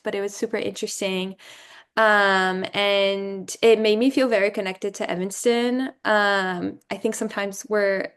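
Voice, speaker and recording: A young woman from Brazil, a former student, talking through her path in a relaxed interview, thinking aloud with many "um"s and "you know"s. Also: medium pitch, clean tone. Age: young adult. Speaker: alumna guest. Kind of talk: casual interview conversation.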